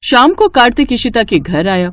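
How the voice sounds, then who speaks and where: A woman's voice: a little high, very animated, moderate pace. A woman narrating a scripted moral story as a storyteller.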